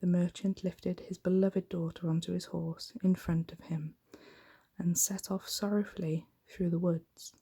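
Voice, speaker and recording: A woman reading a bedtime story in a gentle, unhurried ASMR style, soft-spoken and breathy, often close to a whisper. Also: fairly low pitch, level tone.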